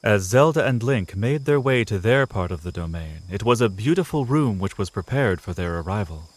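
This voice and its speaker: Low-voiced male story narrator. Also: expressive, normal speed, no room sound. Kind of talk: scripted dramatic story narration.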